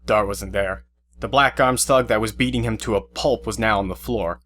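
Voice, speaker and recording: A man's voice: fairly low pitch, normal speed, fairly bright tone. A man reading scripted story narration for an audio drama. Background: music, guitar.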